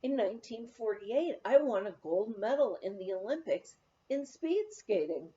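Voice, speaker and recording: Woman reading a memoir out loud, highish voice thats mellow, bit of a dull lo-fi recording. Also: animated, moderate pace. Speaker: audiobook reader. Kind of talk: scripted narration of a humorous memoir, read aloud.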